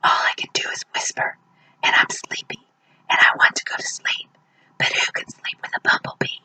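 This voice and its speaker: A woman's voice: normal speed, pushed and bright, low-quality recording. A woman voicing a sleepy, timid little male rabbit who can only whisper.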